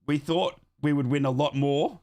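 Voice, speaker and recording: Hoarse male football watchalong streamer. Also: a little high, moderate pace. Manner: chatty, reads live scores and donations aloud, shouts on donations. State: losing his voice, a bit sick. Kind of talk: casual live football commentary and chat.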